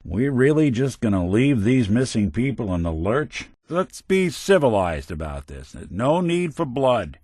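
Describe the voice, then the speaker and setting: A man's voice: medium pitch, very animated, a little slow, few pauses, a little mellow, breathy edge, no room sound. An actor playing a world-weary male synth detective in a video game, delivering dry, sardonic quips in a noir drawl. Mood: wry. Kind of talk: video game companion voice lines. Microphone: close studio mic.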